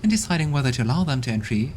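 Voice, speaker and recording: A man's voice: mid-range voice, big pitch swings, racing, a little mellow, a little hiss or hum, close to an everyday voice. A man reading a gracious talking gate. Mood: courteous. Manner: courteous, formal greeting. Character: polite talking manor gate, gracious, formal. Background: game music.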